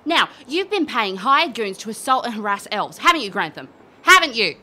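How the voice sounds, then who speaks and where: A woman's voice: a little high, sing-song, normal speed, sharp tone, exaggerated voice. An actress playing a brash, cheeky, hot-headed elf adventurer who tells her tale in an audio drama, blunt and cocky. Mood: confrontational, taunting. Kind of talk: audio drama acting and first-person storytelling.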